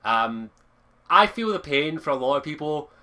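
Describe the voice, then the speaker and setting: Sweary young Lowland Scottish man. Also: lively intonation, moderate pace, sharp tone. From Scotland. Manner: enthusiastic, frequent swearing, restarts and fillers. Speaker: game reviewer. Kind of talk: casual video game review monologue.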